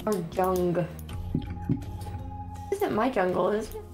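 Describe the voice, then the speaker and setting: A woman's voice: medium pitch, animated, slow. A woman streamer chatting playfully in character while playing with friends, often giggling. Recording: game music under voice.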